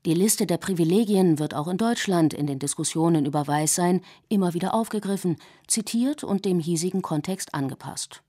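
A woman in her forties, a native English speaker raised in Germany, reading her own radio essay in a measured, reflective way. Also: lowish voice, mellow. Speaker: essay author and narrator. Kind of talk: scripted radio essay narration.

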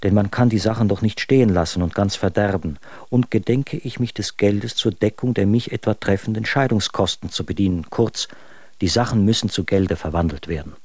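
A man's voice: on the low side, brisk, a little mellow, dry recording, lightly acted. An actor playing an aggrieved husband who reads out his letters in a formal, indignant, articulate way in a radio drama. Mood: businesslike.

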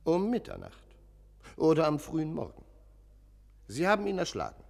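Radio play sexton: highish voice, agitated, fragmented delivery, deep echoing church space. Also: male voice, huge pitch swings, vocal fry, full character voice. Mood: agitated, halting. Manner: halting, fragmented, abruptly emphatic phrases. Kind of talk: German radio play acting. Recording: long echoing church-like reverb.

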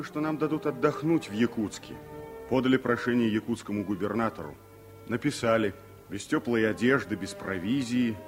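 A man's voice: on the low side, lightly acted. An actor playing a grave former political exile in a radio play, recounting his memories in a solemn, weighty way. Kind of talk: Soviet radio play dramatic storytelling.

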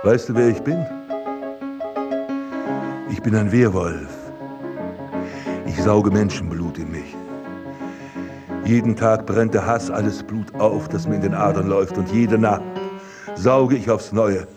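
Man as a slow, deep, diabolical hypnotist villain. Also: close to an everyday voice. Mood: feverish, threatening. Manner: slow, deliberate, mocking and commanding. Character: diabolical male hypnotist master criminal, coldly domineering, menacing. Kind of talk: German radio drama acting. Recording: music behind the voice.

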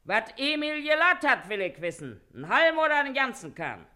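A woman's voice: high-pitched, big pitch swings, fairly quick, bright, forceful tone, heavily acted. Bossy scolding working-class mother, wildly swooping voice. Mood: nagging. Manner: nagging, blustery, colloquial. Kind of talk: German radio play acting.

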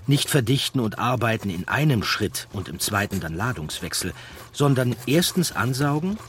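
A man's voice: mid-range voice, very animated, moderate pace, fairly bright tone. A man reading a scripted documentary narration as an audiobook narrator.

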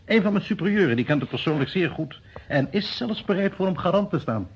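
An actor playing a measured, sceptical police detective in a radio play, husky and matter-of-fact, on a muffled old recording. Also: male voice, sits high, expressive, a little hiss or hum.

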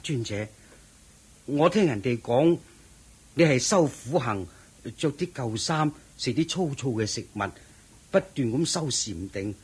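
Eager, breathy young disciple. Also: male voice, medium pitch, expressive, a little slow, a little mellow. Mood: admiring. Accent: Hong Kong Cantonese. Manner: deferential, earnest, naive. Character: eager, admiring young lay disciple from wealthy family. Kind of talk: Cantonese radio drama acting.

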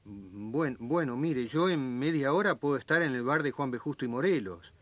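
An actor playing a courteous, eager Argentine brother-in-law in a radio drama, with a Rioplatense accent. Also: male voice, mid-range voice, animated, moderate pace, fairly bright tone, dry recording. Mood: agreeable.

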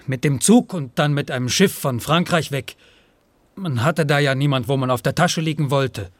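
An actor playing a rootless, humble and anxious peddler in a German radio play, with a halting, rambling way of talking. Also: male voice, mid-range voice, dry recording, natural voice. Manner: halting, rambling, says "one" instead of "I".

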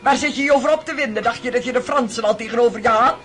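A woman playing a blunt, bossy older aristocratic matron in a radio play, gruff and authoritative with dry humour. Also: fairly high pitch, racing, fairly bright tone, faint music behind, close to an everyday voice. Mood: scolding, stoic. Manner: gruff, authoritative, dryly humorous.

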